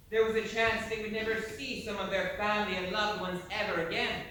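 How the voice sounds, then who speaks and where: A man's voice: high-pitched, sharp tone. A man acting as a declamatory narrator of an emigrant sea voyage in a stage play. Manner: declamatory, dramatic storytelling. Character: animated storytelling narrator aboard an 1825 emigrant ship. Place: indoor theatre stage. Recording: echoey theatre stage from far off, faint noise.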